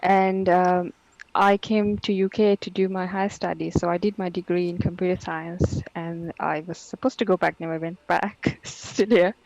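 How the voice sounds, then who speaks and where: A woman's voice: fairly low pitch, a little mellow, dry recording, low-quality recording. Woman with a Sri Lankan-Geordie accent. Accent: mixed Sri Lankan and Geordie English. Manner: laughs easily, repeats words when starting sentences. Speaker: guest. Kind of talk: casual interview conversation.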